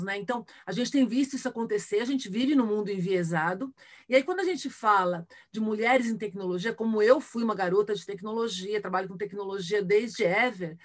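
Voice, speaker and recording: A middle-aged Brazilian woman with a fairly high voice speaks as an interview guest. She has a Brazilian accent and explains things conversationally, often ending phrases with a short tag question to check agreement. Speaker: interview guest, engineer and tech ambassador. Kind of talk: conversational interview answers, personal anecdotes.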